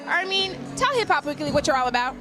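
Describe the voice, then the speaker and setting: A woman's voice: high-pitched, very animated, fast talker. A woman interviewing artists outdoors, with chatty, upbeat questions. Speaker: red-carpet interviewer. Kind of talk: event interview. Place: outdoor party. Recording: outdoor event with loud music. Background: music and crowd.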